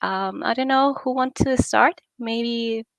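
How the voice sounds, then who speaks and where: A woman in her twenties from Chile moderating an online panel, friendly with slightly halting phrasing. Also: a little high, creaky, no room sound. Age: 20s. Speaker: moderator, student.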